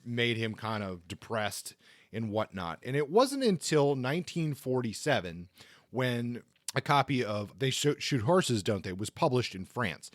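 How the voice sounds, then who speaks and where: A man's voice: mid-range voice, a bit bright, no echo, studio quality. A man co-hosting a podcast, talking through a literary biography from notes in an informal, conversational way. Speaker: podcast co-host. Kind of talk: semi-scripted literary biography talk.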